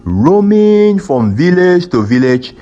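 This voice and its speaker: A man narrating a scripted folktale in a measured, deliberate storytelling style with dramatic pauses. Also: sits high, very animated, warm tone, dull audio. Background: background music.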